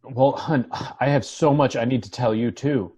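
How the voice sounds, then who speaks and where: A man reading the part of a troubled, earnest former doctor in a dramatic table read, halting and reflective. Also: medium pitch, steady tone, warm tone, husky, close to an everyday voice. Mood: anxious. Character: troubled, earnest male former doctor seeking a fresh start.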